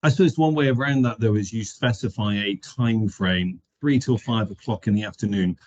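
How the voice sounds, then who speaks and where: A man's voice: on the low side, moderate pace. A middle-aged man explaining things casually as a guest on a video call. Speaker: panel guest. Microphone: video call microphone. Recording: dull lo-fi call audio.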